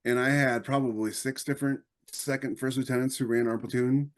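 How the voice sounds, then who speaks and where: A man's voice: medium pitch, fairly even intonation, fairly quick. An American man co-hosting a podcast, talking in a casual, anecdotal way. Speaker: podcast co-host, army veteran.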